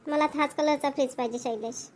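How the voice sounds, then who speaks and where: A woman's voice: high voice, steady tone, fairly quick, slight background noise, lightly acted. A woman voicing a chatty, insistent young wife in a Marathi cartoon, with a Maharashtrian accent. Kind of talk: cartoon voice acting.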